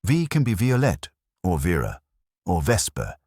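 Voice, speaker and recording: A man reads as a shrewd woman of the station buffet in a mystery story, dry and knowing. The voice is very low and husky, with lively inflection. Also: measured pace, dry recording, exaggerated voice.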